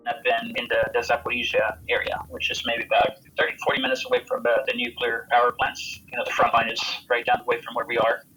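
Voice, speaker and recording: A man in his forties with an American accent answers interview questions haltingly, repeating words as he searches for phrasing. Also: medium pitch, monotone, sharp tone, slightly rough, soft background music. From United States. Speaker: volunteer soldier being interviewed. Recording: harsh phone-like field audio with noise.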